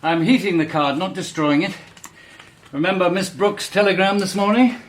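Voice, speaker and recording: A brisk Victorian police inspector, voiced by a man, gives crisp, authoritative orders in a slightly raised voice. A faint hiss lies under this radio-drama recording. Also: high voice, close to an everyday voice. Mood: commanding.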